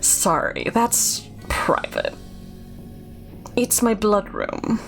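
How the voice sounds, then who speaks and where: A woman's voice: medium pitch, wildly swooping pitch, drawn-out words, gravelly, soft background music, full character voice. A woman voicing a creepy, hunched male innkeeper in a video game, with sinister politeness, a lisp and oddly stressed words. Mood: evasive, polite. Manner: lisping, oddly emphasized words. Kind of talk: video game character read-aloud.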